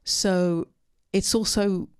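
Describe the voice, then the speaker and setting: A woman speaking unscripted at a post-film discussion, answering audience questions with many pauses, ums and restarts. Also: medium pitch, fairly even intonation, clean tone. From part Syrian.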